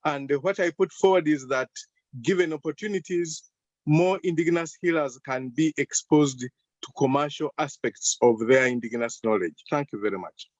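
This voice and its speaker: A man from Uganda joins the panel remotely from inside a car. His voice is fairly high and he speaks slowly and deliberately, and the call audio sounds dull and dry. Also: no echo.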